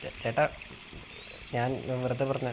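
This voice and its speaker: A man bantering casually as he live-streams a role-play game, teasing and confrontational, often repeating words in quick bursts. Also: medium pitch, flat delivery, background noise.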